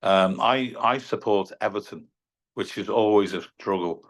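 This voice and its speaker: A man's voice: on the low side, measured pace. A man, a guest in a remote interview, talking in a chatty way and often repeating words as he restarts. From England (Crosby, near Liverpool). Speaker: guest, music venue director and singer-songwriter. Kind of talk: casual interview chat.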